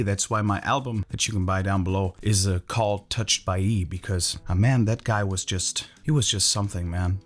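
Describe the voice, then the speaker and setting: A man in his thirties from Germany, with a German accent and German as his first language, casually telling his own story to camera with loose, filler-heavy talk. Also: low voice. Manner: relaxed, loose, lots of "you know" and "uh" fillers. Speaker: Elvis tribute singer and channel host.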